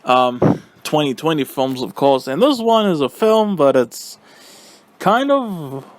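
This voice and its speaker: A man giving a casual, rambling spoken review, halting and slangy, with a silly, giggly manner. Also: fairly high pitch, very animated, dry recording. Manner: silly, giggly, easily cracks up. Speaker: vlogger giving film and game reviews.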